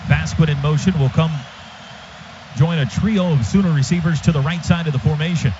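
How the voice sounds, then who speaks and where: A man's voice: on the high side, a little fast. A man doing live radio play-by-play of American football in clipped, descriptive phrases. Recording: dull radio broadcast with clear background noise.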